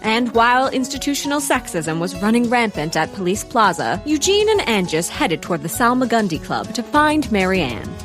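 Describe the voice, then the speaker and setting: A woman's voice: fairly high pitch, very animated, normal speed, few pauses, over music. A woman giving wry, playful scripted narration for a comedic audio drama.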